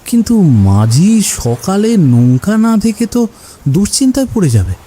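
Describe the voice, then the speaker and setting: A man's voice: high voice, sing-song, measured pace, dark tone, a little hiss or hum. A man playing a cautious, protective village husband in a horror cartoon. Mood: hesitant. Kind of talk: Bengali horror cartoon dubbing.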